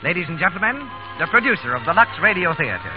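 Male 1930s radio announcer: formal, polished sales pitch, very lively intonation, muffled broadcast sound with music behind. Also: fast.

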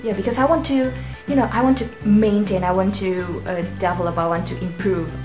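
A young Indonesian woman hosting her own vlog from a small bedroom, chatting to the camera in a rambling, self-deprecating way. Her voice rings in a roomy, echoing space. Clear background music plays under her talk. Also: medium pitch, fairly even intonation, a little fast. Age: young adult. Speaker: channel host. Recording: roomy echo with music behind.

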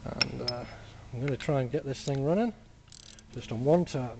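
A man casually explaining a workshop build in a relaxed, plain-spoken way, full of "uh" and "basically", filmed up close on a camera mic at a cluttered bench. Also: mid-range voice, normal speed, creaky, heavy background noise. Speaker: hobby engineer demonstrating a build.

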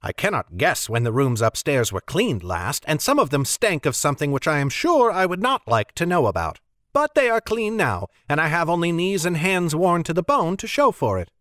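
A man's voice: on the high side, big pitch swings, normal speed, a bit bright, no echo, studio quality, pitched above the performer's own voice. A man voicing a prim, fussy young woman who complains with proper manners.

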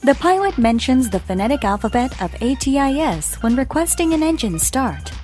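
High, lively woman narrating a lesson. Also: moderate pace, soft background music. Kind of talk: scripted educational narration.

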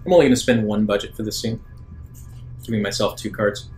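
A man acting as game master, improvising narration for a tabletop roleplaying game in halting, thoughtful phrases. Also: on the low side, a little mellow, soft background music.

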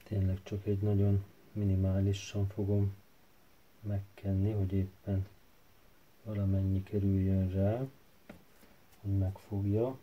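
A man narrating a hands-on tutorial casually, hesitant and halting as he thinks aloud while working. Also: sits low, completely flat delivery, narrow, tinny audio. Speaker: DIY tutorial host. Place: indoor workbench. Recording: faint background noise with handling clicks.

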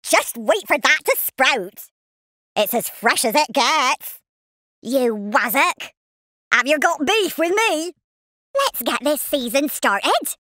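A rustic little farmer worm in a cartoon video game, with a West Country accent. The voice is high and swoops about animatedly, drawing words out at an unhurried pace. It is cheeky and quick to take offence, delivering short exclamatory barks. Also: animated, pushed and bright, no echo, studio quality, exaggerated voice. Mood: contented, then riled. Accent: English West Country.

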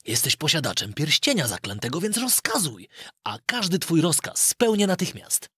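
A man voicing a solemn, obedient male spirit from a magic ring, speaking loud and formal. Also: fairly high pitch, wildly swooping pitch, normal speed, breathy edge, dry recording, clean studio sound, full character voice.

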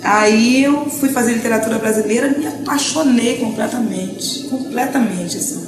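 A woman, a singer chatting in an interview, animated and laughing, with hesitant restarts. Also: fairly high pitch, normal speed. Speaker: singer and songwriter, interview guest. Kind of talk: casual interview chat. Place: living room, seated on a sofa. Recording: roomy living-room sound.

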